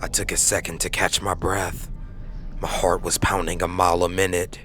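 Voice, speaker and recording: A man's voice: low-pitched, little pitch movement, moderate pace, a bit bright. A man reading scripted first-person horror narration as a podcast narrator. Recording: faint background noise.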